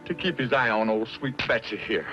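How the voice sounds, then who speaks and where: A man's voice: medium pitch, animated, a little fast, natural voice. Actor as folksy frontier rifleman, dull radio sound. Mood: wry, urgent. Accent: American. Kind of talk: old-time radio western acting. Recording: dull old radio recording, music behind.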